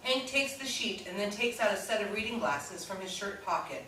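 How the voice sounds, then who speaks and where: A woman's voice: medium pitch, expressive, moderate pace, pushed and bright. A woman reading stage directions as scripted narration at a staged play reading. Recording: very echoey room, distant mic, faint hiss.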